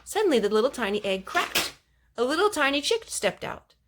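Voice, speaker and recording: A woman reading a children's story aloud in an expressive, animated way, with gentle asides to young listeners. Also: high voice, fairly quick, noisy background.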